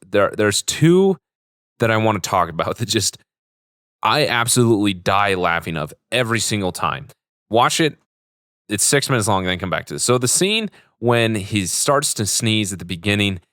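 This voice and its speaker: Low-pitched man rambling enthusiastically, sick with a cold. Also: a bit bright, clean tone, no echo, studio quality. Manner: enthusiastic, gushing, repetitive. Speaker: podcast host. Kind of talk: casual solo podcast review, rambling.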